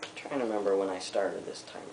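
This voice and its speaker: A young man telling his personal story to a webcam at home, candid and reflective, pausing often with ums. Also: deep voice, vocal fry, darkish tone, roomy sound, a little hiss or hum. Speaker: vlogger.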